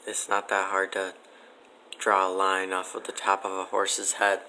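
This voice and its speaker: A man giving casual, matter-of-fact interview answers in a deadpan way. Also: low-pitched, a little slow, clean tone.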